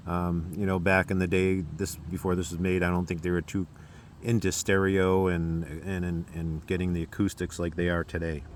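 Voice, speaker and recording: An American man casually presenting a demonstration as a hobbyist, with a halting, unscripted delivery. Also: low voice, level tone, a little hiss or hum.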